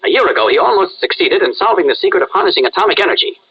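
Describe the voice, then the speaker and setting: An actor playing an earnest, urgent newspaper reporter in a 1940s radio drama. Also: male voice, sits high, lively intonation, racing, breathy edge, exaggerated voice. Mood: earnest, reasoning. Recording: muffled vintage radio recording.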